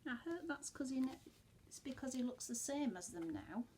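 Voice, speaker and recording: Very animated, high-voiced woman storyteller. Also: normal speed, slight background noise. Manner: expressive, dropping into hushed asides. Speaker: teacher reading a storybook to young children. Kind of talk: animated children's storytelling with chatty asides to listeners.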